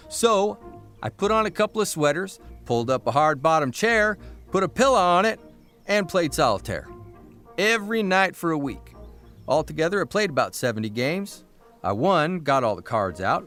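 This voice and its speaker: A wry newspaperman telling road stories in an audio drama. His voice sits a little high and moves with plenty of melody. The manner is plain-spoken and folksy, and music runs behind him. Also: a bit bright. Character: wry, folksy roving newspaper columnist, adult man. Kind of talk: first-person storytelling in audio drama.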